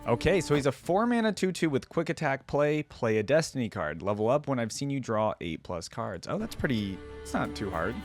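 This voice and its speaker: Male reaction host, casual commentary, lively varied pitch, faint background music. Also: a little fast, hardly any pauses, dry recording.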